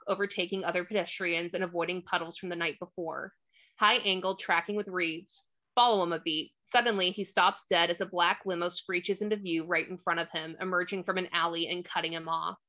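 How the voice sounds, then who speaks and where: A woman reads screenplay directions in a forceful, lower-pitched voice. She sets each scene crisply and dramatically, over slightly dull, lo-fi call audio. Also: steady tone, fairly quick. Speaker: stage directions narrator. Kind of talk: scripted screenplay narration.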